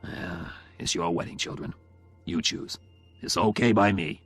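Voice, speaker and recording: A man plays a kindly, accepting middle-aged father in an audiobook story. He talks simply and informally in a husky voice, with music behind. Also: fairly low pitch, animated, a little fast, a bit bright. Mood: kindly, brave. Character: warm, plain-spoken Italian-American father, middle-aged, accepting. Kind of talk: audiobook character dialogue.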